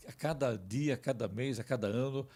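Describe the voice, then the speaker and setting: A man's voice: medium pitch, normal speed, dark tone. A man hosting a radio interview, prompting the guest with chatty questions. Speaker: radio host.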